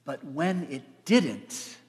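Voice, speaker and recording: A man's voice: on the high side, expressive, extremely slow, a little mellow. A man in his fifties, a pastor preaching a dramatic storytelling sermon with emphatic phrasing. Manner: emphatic, swelling and trailing phrases. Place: large church sanctuary, seated at front. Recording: echoey church hall, long reverb.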